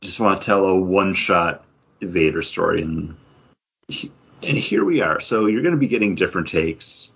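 A man's voice: deep voice, animated, normal speed, vocal fry, fairly bright tone, husky, dull audio. A man co-hosting a podcast in loose, casual conversation. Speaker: podcast co-host reviewing comics. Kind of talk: casual chat.

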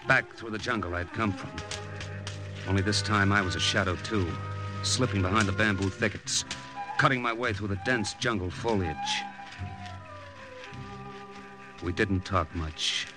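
An actor playing a brash, wry young American secret agent in an old radio drama, with punchy hard-boiled delivery. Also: male voice, on the low side, moderate pace, music in the background, natural voice, rough recording. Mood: dramatic narrating. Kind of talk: 1950s radio drama narration and dialogue.

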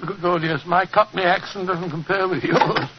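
A man's voice: high voice, fairly even intonation, normal speed, natural voice. An actor playing an earnest, loyal middle-aged male companion in a radio play, speaking in an eager, blustering way. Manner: eager, blustering and easily surprised. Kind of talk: 1940s radio acting. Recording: muffled old radio recording with hiss.

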